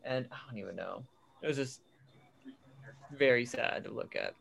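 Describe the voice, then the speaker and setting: A man's voice: mid-range voice, normal speed, vocal fry, a bit bright. A young man chatting casually with friends in a blunt, slangy, sweary way. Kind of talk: casual chat among friends.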